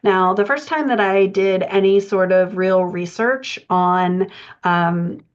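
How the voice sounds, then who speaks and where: A woman's voice: medium pitch, slow pace. A woman giving an informal, explanatory podcast lesson as a writing coach, talking in a conversational way. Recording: dull lo-fi audio.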